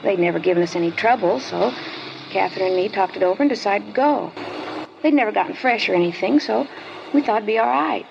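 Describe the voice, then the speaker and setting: A woman playing a wary fifteen-year-old schoolgirl informant in a 1950s radio drama, guarded and halting. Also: medium pitch. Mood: recounting. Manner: guarded, halting, evasive answers. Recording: dull lo-fi old radio recording, clear hiss.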